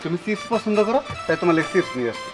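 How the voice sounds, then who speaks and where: A man playing a put-upon husband who argues back and then pleads. He talks fast over clear background music. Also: high-pitched, animated. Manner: protesting, pleading. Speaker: husband in a comic short drama. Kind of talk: comic village drama acting.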